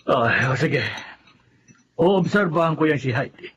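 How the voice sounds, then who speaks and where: A man playing a middle-aged, compassionate father and household master, measured and questioning, in a Filipino radio-style melodrama. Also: on the high side, lively intonation, fairly bright tone, highs cut off, slight background noise.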